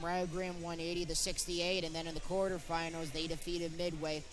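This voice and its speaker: A man's voice: on the high side, noisy background. A young man calling live college basketball play-by-play in short, clipped present-tense phrases. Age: young adult. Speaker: play-by-play sportscaster.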